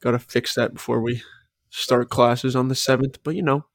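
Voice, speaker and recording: A man's voice: medium pitch, steady tone, normal speed. A young man co-hosting a casual podcast with his roommate, rambling and repeating himself, his voice a little worn. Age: young adult. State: slightly lost voice. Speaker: podcast co-host. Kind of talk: casual chat. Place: shared apartment at night. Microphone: podcast microphone.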